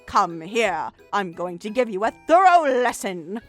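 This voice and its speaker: A woman's voice: medium pitch, very animated, a little slow, soft background music. A woman voicing a stern older mother-in-law, furious and scolding, in a narrated story. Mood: cold fury.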